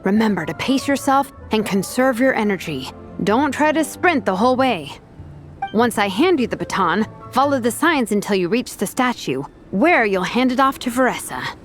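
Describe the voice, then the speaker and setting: A woman voicing a practical, no-nonsense young team captain in a video game, briskly giving instructions. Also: fairly high pitch, very animated, faint music behind. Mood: brisk, commanding. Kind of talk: scripted game dialogue.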